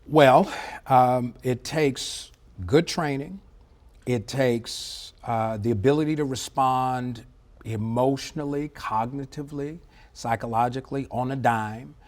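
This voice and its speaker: A middle-aged man with a mid-range voice gives expert commentary in a TV interview. He is articulate and measured, leaning on key words for emphasis and checking that the listener follows. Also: medium pitch. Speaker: former air traffic controller, interview guest. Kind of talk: live TV news interview, explanatory commentary.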